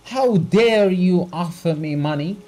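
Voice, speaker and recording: A man reading a story aloud, voicing a furious, embittered country doctor who shouts with contempt. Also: high voice, lively intonation, slow pace, faint music behind, voice pushed up, exaggerated voice. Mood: contemptuous. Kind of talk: audiobook character reading.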